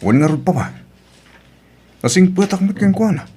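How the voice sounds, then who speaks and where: A man's voice: high voice, animated, normal speed, dark tone, slightly rough. A man playing a hurt, resentful husband and father in an Ilocano radio drama. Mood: resigned.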